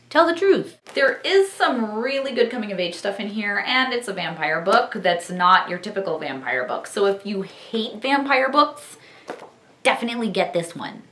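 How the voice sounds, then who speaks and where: A woman's voice: medium pitch, a bit bright, echoey room. A woman hosting a vlog of book reviews, talking and reading aloud in an exuberant, theatrical way. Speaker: book-review vlogger and host.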